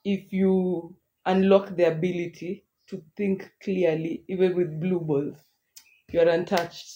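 A woman's voice: on the low side, little pitch movement, slow, warm tone. Teasing young Kenyan woman podcaster. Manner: teasing, laughs often, mixes English and Swahili. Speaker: podcast co-host. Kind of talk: casual banter.

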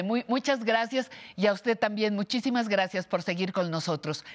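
A Mexican woman hosting a television interview, asking questions and thanking her guest with frequent affirming interjections. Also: fairly low pitch. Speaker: host.

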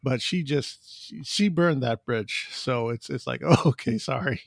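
Rambling podcast host with a gravelly voice. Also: male voice, mid-range voice, unhurried, no room sound, clean studio sound. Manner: rambling, many fillers and repeated words. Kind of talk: casual interview conversation.

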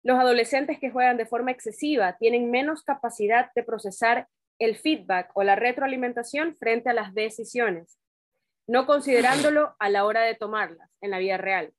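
Higher-voiced woman in dry sound. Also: normal speed, no echo. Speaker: co-presenter of a talk. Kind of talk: informal reflective discussion.

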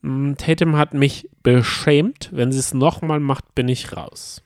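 A man's voice: medium pitch, steady tone, measured pace, darkish tone, dry recording. Male podcast co-host, dry close sound. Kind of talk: casual reality-TV recap chat.

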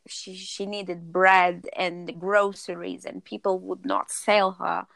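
A woman's voice: on the low side, little pitch movement, slow pace, gravelly, fairly bright tone, no echo. A woman in her thirties from Portugal, a podcast guest telling her life story in an earnest way, often stopping to search for words. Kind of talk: personal storytelling in conversation.

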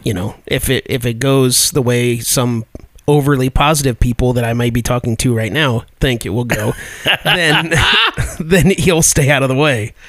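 A man's voice: mid-range voice, moderate pace, a bit bright, no room sound. An American man co-hosting a casual sports podcast, blunt and teasing, with plenty of swearing. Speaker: podcast co-host.

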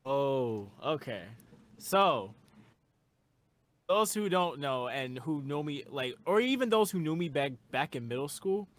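A man's voice: fairly high pitch, very animated, a little slow, no echo. A young man who makes music, a guest in a casual interview, talking earnestly and rambling with lots of fillers.

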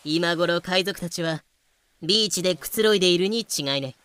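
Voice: mid-range voice, moderate pace, fairly bright tone, clean tone, natural voice. A performer in an anime-style audio drama playing a brash, stubborn, boastful pirate-hunting captain with a bossy, blustering delivery. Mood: commanding.

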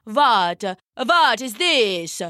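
Woman as a polite gloomy vampire count, very slow, bright smooth tone, dry. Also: a little high, very animated, no room sound, full character voice. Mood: drawn-out.

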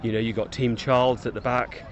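A man, reflective and halting as he speaks. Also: medium pitch, flat delivery. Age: 50s. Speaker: parkrun runner interviewee. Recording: outdoors with crowd noise.

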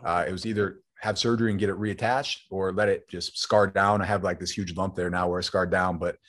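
Fast-talking low-voiced man telling stories. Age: 20s. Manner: relaxed, rambling, says "man" often. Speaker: guest, former football player turned entrepreneur. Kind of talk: casual interview storytelling.

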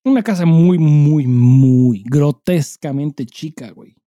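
A man's voice: a little high, slow pace, mellow, dry recording, clean studio sound. A Mexican man co-hosting a podcast in casual chat, swearing freely and speaking with emphasis in heavy slang. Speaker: podcast co-host.

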